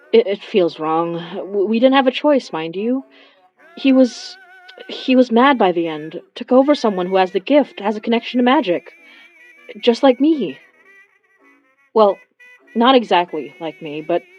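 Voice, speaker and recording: A woman's voice: fairly high pitch, dull audio. A woman playing a weary, anxious young woman, speaking in a hesitant, confiding way in an intimate audio drama. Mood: uneasy, confessing.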